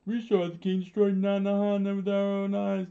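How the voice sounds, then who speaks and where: Shrill, vengeful rebel yelling performed by a man. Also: steady tone, moderate pace, highs cut off. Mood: outraged. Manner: shouting. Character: angry rebel crowd, shrill, defiant, vengeful.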